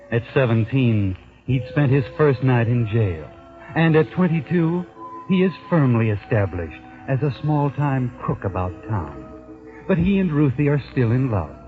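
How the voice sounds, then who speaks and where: A man's voice: mid-range voice, lightly acted. Sinister male storyteller played by an actor for vintage radio crime drama: knowing, wry, muffled recording, music behind. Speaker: host and narrator of a crime anthology. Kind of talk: 1940s radio drama narration.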